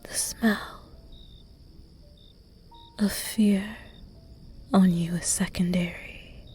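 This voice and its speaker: A woman voicing a seductive, predatory female vampire who teases her prey in slow, close, breathy whispers in an ASMR roleplay. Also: medium pitch, fairly even intonation, darkish tone, soft background music. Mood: seductive teasing.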